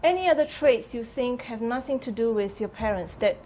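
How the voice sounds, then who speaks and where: A woman's voice: a little high, animated, fairly quick, mellow, a little hiss or hum, low-quality recording. A woman lecturer leading a classroom discussion, probing students with follow-up questions. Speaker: psychology lecturer. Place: lecture room.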